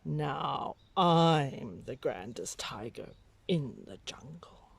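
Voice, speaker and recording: Woman putting on a slow, menacing storybook tiger. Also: very deep voice, animated, gravelly, slightly rough, no echo, full character voice. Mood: boastful. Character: menacing, boastful tiger who threatens to eat a child.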